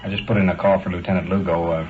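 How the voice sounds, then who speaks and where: Fast, deep-voiced American police sergeant, tinny tape. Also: male voice, little pitch movement. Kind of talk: unscripted on-the-job talk. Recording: thin, narrow 1950s radio field recording.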